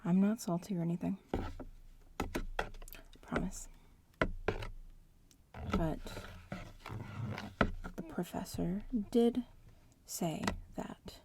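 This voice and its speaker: A woman playing a bratty young witch in training in a whispered ASMR roleplay, teasing and explaining in a breathy voice. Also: lowish voice, drawn-out words, pauses often, noisy background, full character voice. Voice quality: breathy whisper, mostly unvoiced.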